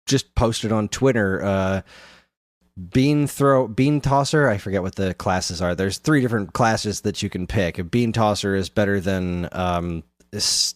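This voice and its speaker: A man's voice: low voice, no echo. A man co-hosting a podcast, chatting casually and enthusiastically about video games. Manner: enthusiastic, animated. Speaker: podcast co-host.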